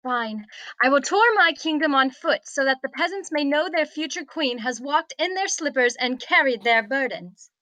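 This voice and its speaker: A woman plays a haughty young princess for a comedy script reading. Her voice is high and bright, and she speaks in a pompous, commanding way, as if grandly important. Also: animated, normal speed, no room sound, low-quality recording. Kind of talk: comedic screenplay table read.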